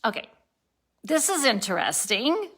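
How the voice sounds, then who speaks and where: Slow-talking woman in her sixties, tired. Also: on the high side, big pitch swings, a bit bright, slightly rough. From born in Turkey, raised abroad, lives in Colorado. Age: 60s. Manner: rambling, self-correcting, laughs at herself. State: tired, a little spacey. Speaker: vlogger. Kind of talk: casual daily video diary.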